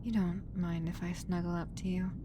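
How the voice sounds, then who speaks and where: A woman playing a caring human medic on an alien spaceship in a roleplay audio drama, with a gentle, hesitant, fussing manner. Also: little pitch movement, moderate pace, lightly acted. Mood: sleepy, affectionate. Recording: noisy audio with low rumble.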